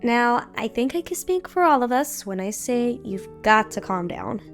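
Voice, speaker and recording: Woman voicing older cousin pony, high voice, gentle, reassuring, cartoon audio drama, music behind. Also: lively intonation, moderate pace. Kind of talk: animated audio drama acting.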